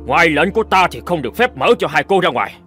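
A man's voice: on the high side, lively intonation, fast, pushed and bright. An actor playing a stern, stubborn middle-aged village father in a cartoon drama, commanding and emphatic as he scolds. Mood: angry. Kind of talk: animated cartoon drama dialogue. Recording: music behind the voice.

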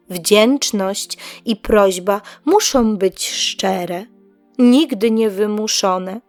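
A woman reads a moral tale for children as an audiobook narrator. Her delivery is slow and deliberate, with pauses between short phrases. The recording is dry and close, with faint music behind the voice. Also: medium pitch, darkish tone, no room sound.

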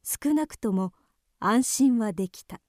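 A woman's voice: fairly high pitch, animated, measured pace, a little mellow, dry recording, clean studio sound. A woman reading scripted narration for a radio drama horror story.

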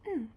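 Casting: woman as nervous, sweet young female vampire, ASMR girlfriend roleplay; soft dark tone, breathy hesitant delivery, some background noise. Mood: tender, bashful. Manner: hesitant, stammering, breathy, often whispering.

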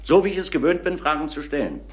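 An actor playing a persistent, authoritative police inspector in a crime radio play, putting curt, probing questions. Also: male voice, a little high, animated, fast talker, close to an everyday voice. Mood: measured, probing. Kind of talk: German crime radio drama acting. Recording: roomy, noisy vintage radio drama.

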